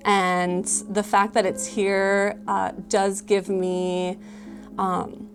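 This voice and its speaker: A woman's voice: medium pitch, flat delivery, drawn-out words. A woman giving a casual tarot reading, explaining the cards in a conversational way with lots of filler words. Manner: conversational, explanatory, often hedging with "like" and "you know". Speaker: tarot card reader. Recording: music playing behind the voice.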